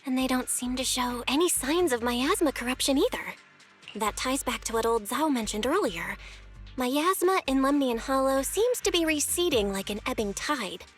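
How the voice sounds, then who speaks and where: Kind, observant young anime heroine: composed but melodic, pitched high. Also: female voice, expressive, normal speed, soft background music. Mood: thoughtful. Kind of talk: anime-style video game voice acting.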